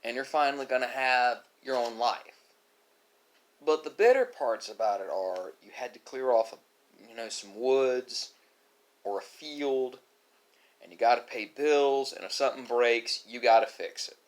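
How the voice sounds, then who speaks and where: A man with an average-pitched voice delivers an unscripted, reflective monologue to an online audience. He talks in a philosophical way, breaking phrases with pauses and correcting himself along the way. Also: animated. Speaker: ASMR video creator. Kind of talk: unscripted musing monologue to viewers during a mukbang.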